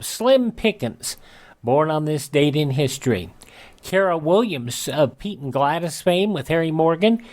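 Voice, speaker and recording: Radio host, American man, very lively intonation, scripted announcer read. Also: fairly high pitch.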